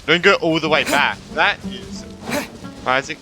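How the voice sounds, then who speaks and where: A man's voice: a little high, expressive, a little slow, pushed and bright, faint music behind. A man giving casual let's-play commentary, thinking aloud, repeating himself and reading game dialogue out. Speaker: gaming streamer.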